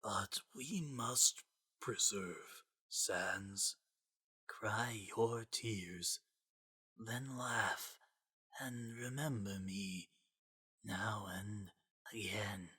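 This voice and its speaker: A man voicing a dying skeleton doctor in a story reading, gentle and solemn, with a very faint, breathy, halting whisper. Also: lowish voice, full character voice. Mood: solemn farewell. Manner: slow, halting, solemn delivery. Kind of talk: dramatic fan-fiction reading.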